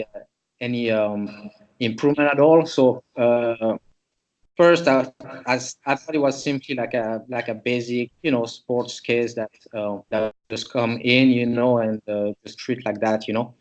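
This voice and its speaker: A man's voice: medium pitch, fairly even intonation, moderate pace, darkish tone, muffled recording. A man from Switzerland, a chiropractor, presents a patient case to students in a loose, conversational way, thinking aloud as he goes.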